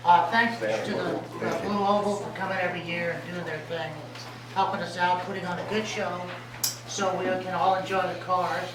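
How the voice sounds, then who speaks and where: A man chairing a city council meeting, his voice weak after recent vocal cord surgery, talking haltingly with many fillers. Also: high voice, level tone, a crowd in the background. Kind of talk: presiding over a public meeting. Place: council chamber. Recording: echoey room, distant mic, background noise.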